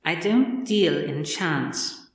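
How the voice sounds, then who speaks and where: A man's voice: a little high, lively intonation, drawn-out words, voice pushed up, heavily acted. A man voicing a cold, implacable female computer intelligence with a detached, formal manner and a cavernous echo effect. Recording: very echoey, distant, long reverb.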